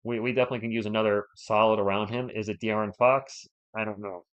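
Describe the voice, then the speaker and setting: A man's voice: lowish voice, steady tone, fast talker, muffled recording. A man who is a bit under the weather hosts a live sports stream, giving casual fan play-by-play and chatting with viewers by name in a conversational, playful way.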